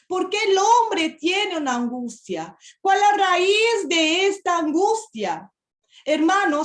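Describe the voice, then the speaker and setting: A fairly high-voiced woman with a Brazilian Portuguese accent delivers a sermon, speaking carefully in a language that is not her first and using frequent hesitant fillers. She builds toward emphatic rhetorical questions, and her voice rings out in a roomy, echoing church hall. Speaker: preacher.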